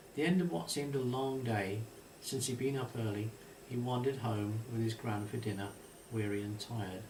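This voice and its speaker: A man's voice: medium pitch, normal speed. A man reading a children's story aloud in a narrator's storytelling style. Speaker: author reading own story. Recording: distant mic, roomy echo, faint hiss.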